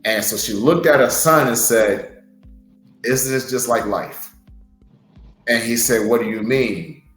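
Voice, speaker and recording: A man's voice: medium pitch, measured pace, fairly bright tone, echoey room, soft background music, poor audio. A man who wrestles, co-hosting a podcast and telling a motivational story in an earnest, heartfelt way. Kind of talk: casual podcast chat.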